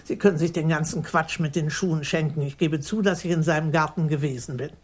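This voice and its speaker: A woman's voice: low voice, a little fast, darkish tone, slightly rough. An actress playing a stout, defiant middle-aged woman in a German radio crime drama, blunt, dry and sardonic. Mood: defensive, blunt. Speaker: murder suspect, former maths teacher.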